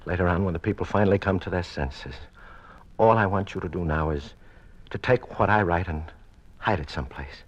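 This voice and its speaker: Deep-voiced, weary condemned statesman. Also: slightly rough. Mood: earnest pleading. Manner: deliberate, hesitant, ironic. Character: imprisoned senator, broken-backed, wry, defiant, weary condemned man. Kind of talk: old-time radio drama acting. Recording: muffled old radio recording.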